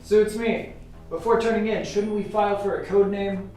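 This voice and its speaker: Distant and very echoey, a man acts a male scientist in a radio play. His voice is high for a man, and his manner is blunt and questioning. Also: little pitch movement, normal speed, darkish tone, natural voice. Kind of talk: radio drama acting. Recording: distant, very echoey, faint music.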